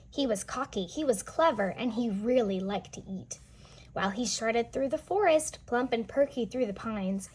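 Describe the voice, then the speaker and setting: A woman's voice: a little high, slightly rough. A woman reading a picture book aloud to young children, explaining and asking questions as she goes. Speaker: children's read-aloud storyteller.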